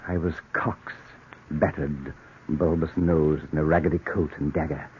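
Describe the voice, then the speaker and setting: An actor playing a cunning, deranged murderer, confessing in a theatrical, brooding, repetitive 1940s radio-drama style. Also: male voice, very deep voice, steady tone, unhurried. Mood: brooding, stealthy. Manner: theatrical, brooding, obsessively repeating himself. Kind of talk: 1940s radio acting, first-person narration. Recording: noisy muffled old radio recording.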